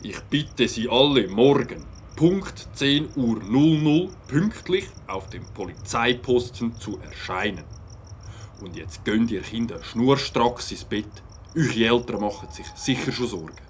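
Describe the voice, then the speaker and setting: A man's voice: medium pitch, animated, unhurried, slight background noise, lightly acted. An actor playing a stern, pedantic village policeman with a formal, officious manner in an audio drama.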